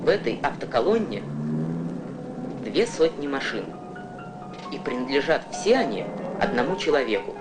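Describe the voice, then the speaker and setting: Animated narrator for a kids science newsreel, storytelling style, loud music behind. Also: mid-range voice, normal speed, long pauses. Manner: storytelling, addresses young viewers with questions. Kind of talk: scripted educational documentary narration. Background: prominent music.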